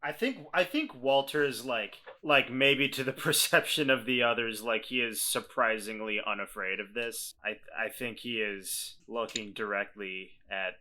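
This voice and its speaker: A man chatting casually as a game player, explaining his character's actions in a halting way, repeating words as he thinks. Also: medium pitch, sharp tone, a little hiss or hum.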